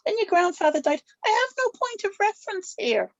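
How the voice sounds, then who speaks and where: A woman's voice: very high-pitched, very animated, brisk, dull audio, heavily acted. A woman playing a stern, evasive old witch grandmother in a drama script table read. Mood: guarded, explaining.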